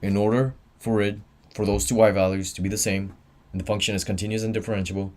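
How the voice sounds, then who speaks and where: Tired young man with a deep voice. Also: fairly even intonation, fast, darkish tone. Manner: tired, offhand, reads chat aloud. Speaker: student tutor. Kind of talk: livestreamed exam review.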